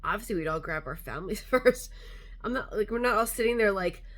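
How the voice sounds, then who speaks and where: A woman's voice: mid-range voice, expressive, fairly quick, a bit bright. A woman vlogger chatting casually to camera, congested and a little nasal, rambling with lots of fillers.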